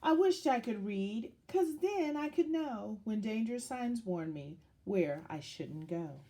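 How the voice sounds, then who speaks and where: Female children's story reader: unhurried, highly expressive, dramatic read-aloud delivery with wide pitch movement. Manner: dramatic, deliberate storytelling with questions to young listeners.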